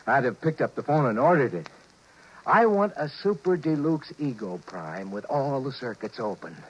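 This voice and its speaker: A man's voice: medium pitch, big pitch swings, normal speed, slightly rough. An American man playing a wry, scheming married businessman who tells his own story in a 1950s radio drama. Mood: insistent. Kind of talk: 1950s radio drama acting with first-person narration. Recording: dull, noisy 1950s radio recording.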